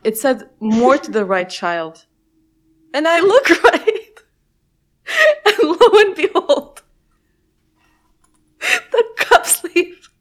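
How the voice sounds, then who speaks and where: A woman's voice: sits high, sing-song, very slow, long pauses. A woman whose first language is Romanian, telling stories casually with frequent laughs and restarts. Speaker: guest. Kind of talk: casual reflective conversation.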